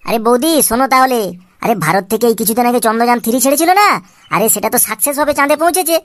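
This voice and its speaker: A woman's voice: fairly high pitch, racing, very noisy, close to an everyday voice. A woman voicing a cheeky, boastful young man full of schemes in a comic cartoon, chatty and wheedling. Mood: boastful, scheming. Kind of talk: comic amateur cartoon dialogue.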